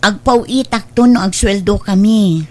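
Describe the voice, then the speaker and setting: A woman's voice: mid-range voice, unhurried, a little mellow, close to an everyday voice. A woman playing an earnest, self-sacrificing mother in a radio drama, caring and admonishing, with a Filipino accent. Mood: concerned. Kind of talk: Ilocano radio drama acting.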